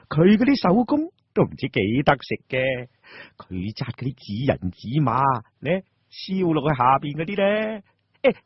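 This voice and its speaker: A man acts out a lazy young Cantonese schemer for a radio drama. He is sly and pleased with himself, with swooping pitch, a husky edge and a dry close recording. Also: dry recording, dull audio, full character voice. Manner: sly, chuckling, boastful. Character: cunning, lazy, scheming young wastrel man, self-satisfied.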